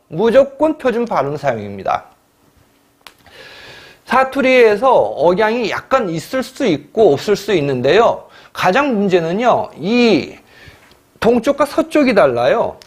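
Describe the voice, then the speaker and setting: A middle-aged man lectures for exam prep in a high, animated voice that swings widely in pitch. He presses his points hard, repeats himself for emphasis and scolds his students, often stopping to clear his throat. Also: unhurried. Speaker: Korean language exam lecturer.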